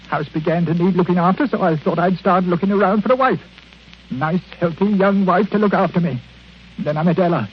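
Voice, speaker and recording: A man's voice: high-pitched, close to an everyday voice. An actor playing a miserly, self-pitying old man, whining and blustering in 1940s radio drama style. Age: older. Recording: dull, noisy 1940s radio recording.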